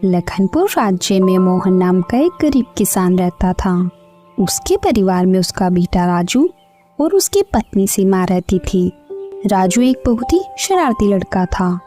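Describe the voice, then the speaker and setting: A woman reads a children's story at a slow pace and a medium pitch. Music plays clearly behind her scripted narration. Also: very animated, mellow. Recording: music bed under the voice. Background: background music.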